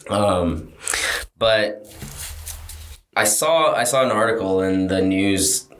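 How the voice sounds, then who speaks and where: Guy with a real low raspy morning-after voice, echoey room, some faint noise. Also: takes it slowly, a bit bright. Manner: hesitant, many fillers. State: raspy, hungover voice. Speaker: podcast guest. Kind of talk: casual chat.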